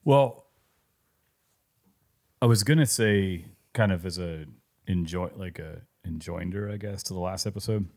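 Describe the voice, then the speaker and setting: A man co-hosting a podcast, thinking out loud in circling phrases with sudden bursts of emphasis. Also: deep voice, unhurried, creaky, pauses often. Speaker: podcast co-host. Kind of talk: casual podcast chat.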